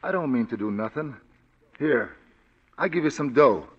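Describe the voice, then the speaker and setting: An actor playing a huge, slow, simple-spoken male ex-convict in a 1940s radio drama, talking bluntly and ungrammatically, on a dull lo-fi broadcast recording. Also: mid-range voice, expressive, slightly rough. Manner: plain, blunt, ungrammatical speech.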